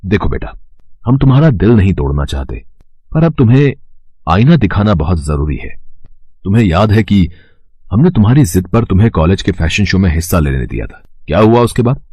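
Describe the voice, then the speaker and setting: A man playing a stern, protective middle-aged father in an animated moral story, firm and admonishing. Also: low-pitched, a little fast, breathy edge, no room sound, full character voice.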